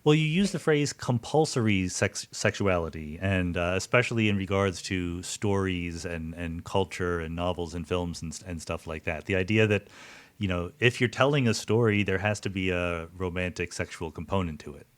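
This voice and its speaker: A man hosting an interview podcast, musing conversationally and often doubling back on his words as he thinks. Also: sits low. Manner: musing, halting with repeated words. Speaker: podcast host.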